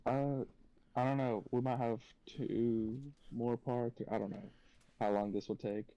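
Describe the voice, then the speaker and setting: Guy on a reaction podcast, soft mellow tone, kinda musing, slightly muffled mic. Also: medium pitch, level tone, a little slow, dry recording. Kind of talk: casual reaction chat.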